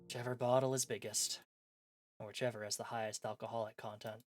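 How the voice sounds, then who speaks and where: A man reading aloud the part of a brooding, frustrated professor in a visual novel mystery, in measured, formal wording. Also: fairly low pitch, flat delivery, lightly acted. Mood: weary. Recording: music behind the voice.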